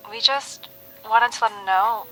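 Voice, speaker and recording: A woman's voice: on the high side, a little slow, fairly bright tone, soft background music, low-quality recording. A woman playing an earnest, secretive young woman in an audio drama, insistent and cryptic on a call. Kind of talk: audio drama phone conversation.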